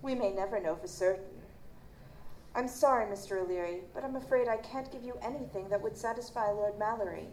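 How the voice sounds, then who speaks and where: A woman playing a guarded, formal chief medical examiner in an audio drama, measured and dismissive. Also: mid-range voice, darkish tone, lightly acted. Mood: measured, evasive. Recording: roomy, noisy live stage recording.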